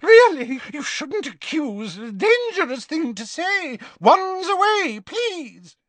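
A man puts on a fussy older seamstress with a high, fluttering voice that jumps up from a deep base. She sounds flustered and eager to smooth things over, and the reading is slow and dry. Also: big pitch swings, fairly bright tone, dry recording, full character voice.

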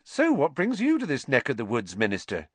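A man's voice: medium pitch, huge pitch swings, brisk, fairly bright tone, slightly rough, dry recording, pitched above the performer's own voice, full character voice. Man voicing a gossipy female pub landlady. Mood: welcoming. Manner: gossipy, familiar.

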